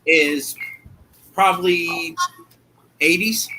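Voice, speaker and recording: A man in his thirties teasing friends in a relaxed, laid-back casual chat. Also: on the high side, very slow, a little hiss or hum, rough recording. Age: 30s. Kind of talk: casual chat on a group call.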